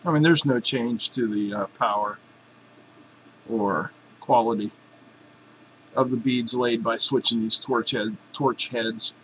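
A man's voice: mid-range voice, fairly even intonation, slow, pauses often, a bit bright, slight background noise, low-quality recording. A man in a home workshop explaining a welding torch swap in a casual, joking, folksy way. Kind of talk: casual workshop how-to narration.

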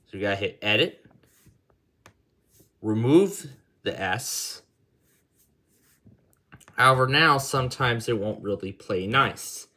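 A man's voice: mid-range voice, lively intonation, slow, long pauses, bright, forceful tone. A man giving a casual hands-on tutorial as its host, thinking aloud as he works through the steps indoors. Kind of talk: casual hands-on app demonstration.

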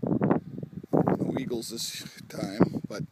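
A man narrating his own home video casually, in a laid-back, understated way, outdoors on a handheld camera. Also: very slow. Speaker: amateur sailor filming home videos. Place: outdoors on a sailboat and lakeshore. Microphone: handheld camera microphone. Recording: wind-battered handheld camera, boat noise.

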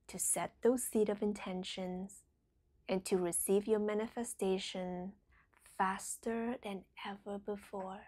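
A woman leading a guided meditation in a soothing, deliberate way, letting phrases trail into a breathy whisper. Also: medium pitch, darkish tone.

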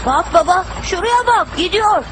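A performer voicing an eager, excitable youngster in a dubbed cartoon. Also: extremely high pitch, measured pace, noisy background. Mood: excited. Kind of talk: cartoon dubbing. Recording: music behind the voice.

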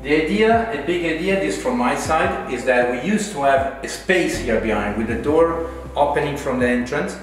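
A middle-aged man who is not a native English speaker, presenting a home walkthrough in a halting but enthusiastic way. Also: on the high side, expressive. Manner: halting, filler-heavy, enthusiastic praise. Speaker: designer presenting a home renovation. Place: tiled and furnished rooms inside a villa. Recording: very echoey rooms, background music. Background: instrumental guitar music.

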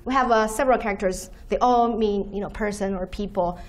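A woman whose first language is Chinese, giving a university lecture from a podium and explaining terms slowly with many "okay"s. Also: medium pitch. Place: lecture room, standing at podium by projector screen.

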